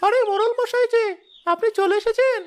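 A woman's voice: very high-pitched, steady tone, brisk, noisy background. A woman voicing an eager, respectful young village shop seller in a cartoon. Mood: eager, deferential. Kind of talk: high-pitched cartoon dubbing.

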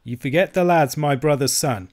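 A miserly old Scottish uncle, as a male narrator acts him out in an audiobook. His voice climbs high and loud as he whines and snarls. He sounds cowardly and self-righteous. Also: moderate pace, close to an everyday voice. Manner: wailing, snarling protests. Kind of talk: audiobook character voice.

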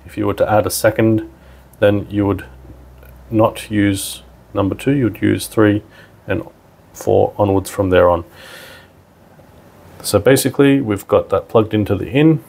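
A man's voice: lowish voice, unhurried, vocal fry, pauses often, darkish tone. A man narrating a hands-on product tutorial in an informal, step-by-step way. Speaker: product demonstrator.

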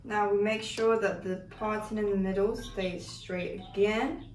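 A woman's voice: medium pitch, fairly even intonation, a little slow, darkish tone, recorded from a distance. A young woman chatting through a hair tutorial on her toddler, explaining each step and correcting herself as she goes. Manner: chatty, instructive, self-correcting. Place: living room with Christmas decor. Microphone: far camera. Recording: echoey room audio with background music.

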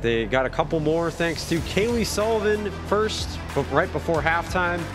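A man's voice: fairly high pitch, animated, normal speed, music in the background. A man who hosts a college sports broadcast, interviewing a coach, reading sponsors and wrapping up the game in an upbeat style.

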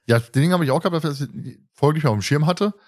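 A man's voice: a little high, fast, a little mellow. A man hosting a podcast in casual, chatty conversation with a teasing manner. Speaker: podcast host.

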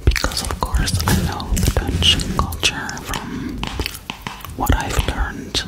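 A woman whispering close to the mic as a gentle female alien visiting Earth, in a slow, soothing, repetitive ASMR role-play, recorded indoors in a small room. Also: close to an everyday voice. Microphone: large studio mic held very close.

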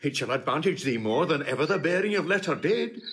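An actor playing a wronged, pompous steward who pleads theatrically and indignantly in a classical stage comedy. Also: male voice, fairly high pitch, animated, a little fast, fairly bright tone. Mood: earnest begging.

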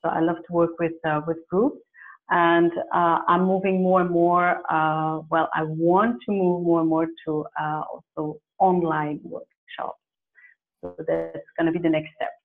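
A woman's voice: on the low side, level tone, measured pace. Dutch lady coach on a video call, lots of uhs and restarts, thin phone-like sound. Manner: thoughtful, many fillers and restarts. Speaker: career and leadership coach. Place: home office with plants and pictures. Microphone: earphone mic on a video call.

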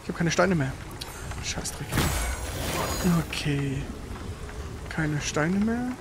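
A man's voice: on the high side, expressive, slow pace, heavy background noise. A man doing casual live gameplay commentary with a co-player, exclaiming, grumbling and swearing as he goes. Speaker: gaming streamer playing and commenting.

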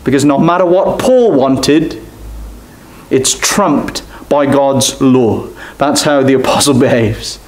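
A young man preaching a sermon at a lectern, animated and gesturing, dropping to near-whispers at times. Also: medium pitch, takes it slowly. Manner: animated, gesturing preaching with sharp drops to quiet. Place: church hall at a lectern. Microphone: lectern mic from a distance. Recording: echoey church room.

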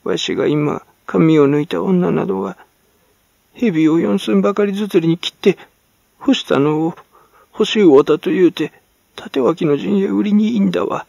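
Storybook crone: raised pitch, gravelly croak, halting mumble, self-justifying, archaic rustic turns of phrase. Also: male voice, dark tone, no room sound. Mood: defensive muttering. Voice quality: crow-like croak, gasping. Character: croaking, muttering, self-justifying old hag in rags.

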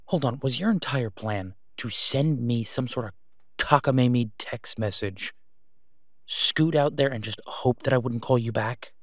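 A man playing an exasperated, protective vigilante in a phone-call audio roleplay, speaking urgently and emphatically, with pleading pauses. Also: mid-range voice, dry recording, clean studio sound, close to an everyday voice. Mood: reluctant, exasperated. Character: exasperated, protective masked male vigilante on patrol.

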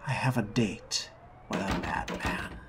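Man playing a slow, breathy vampire gentleman. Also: lowish voice, noisy background. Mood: musing, sardonic. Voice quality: breathy, whispery. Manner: slow, theatrical, refined diction. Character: suave, possessive male vampire fledgling, menacingly tender. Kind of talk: in-character roleplay narration of a video game. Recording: music behind the voice.

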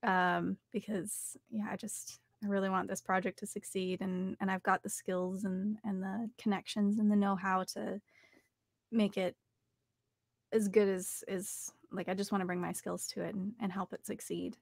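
A woman's voice: mid-range voice, flat delivery, normal speed, gravelly, no room sound, studio quality. A young woman talking casually as an interview guest about her voice acting work. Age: young adult. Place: small home recording studio, indoors.

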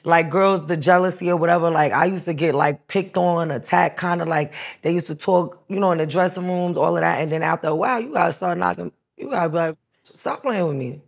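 A woman's voice: fairly low pitch, fairly quick, vocal fry. A woman in her thirties from New York, talking frankly and animatedly as an interview guest. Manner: frank, animated, voices others in quotes. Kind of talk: candid interview storytelling. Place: studio set, indoors.

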